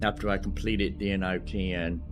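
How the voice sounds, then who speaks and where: A man's voice: low-pitched, level tone, moderate pace, music in the background. A man giving casual let's-play commentary on a video game, thinking aloud as he plays. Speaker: gamer hosting a let's-play series.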